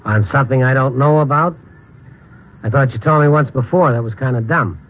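An American actor playing a calm, wry man who narrates and consoles friends in a measured, noncommittal way, in old-time radio drama style. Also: mid-range voice, close to an everyday voice. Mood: guarded. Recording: muffled old radio recording, music behind.

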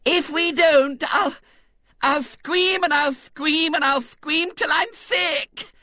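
A bossy little girl who stretches every demand into a shrill whine. far above her own pitch. The voice sounds close and dry. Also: fairly even intonation, slow pace, sharp tone, no echo, exaggerated voice.

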